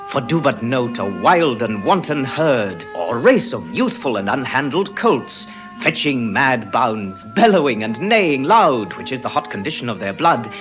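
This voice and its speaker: Eloquent young lover, Shakespearean verse, declamatory, animated pitch, music underneath. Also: male voice. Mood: rapturous. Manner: lyrical, declamatory, measured. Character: Lorenzo, romantic eloquent young gentleman lover. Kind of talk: classical Shakespearean stage acting.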